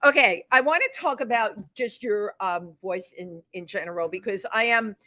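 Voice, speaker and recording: A woman hosting a talk show interview, chatty and quick to agree with her guest. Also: mid-range voice, bright, forceful tone. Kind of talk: casual interview chat.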